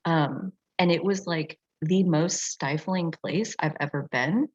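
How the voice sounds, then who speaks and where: A woman's voice: low-pitched, takes it slowly, breathy edge. A woman teaching in a casual, reflective way on an online group call, often saying "like" as she explains. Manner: reflective, frequent "like" filler. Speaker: astrology teacher and session facilitator. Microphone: video call. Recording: dull, lo-fi video call audio.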